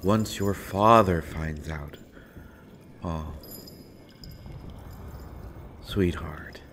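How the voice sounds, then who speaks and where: A very low-voiced, sardonic male villain acted by a man speaks slowly from his prison in an audio roleplay. He taunts theatrically, drawing out phrases with mocking pauses, over clearly audible background noise. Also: fairly bright tone, exaggerated voice. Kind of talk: scripted audio roleplay acting.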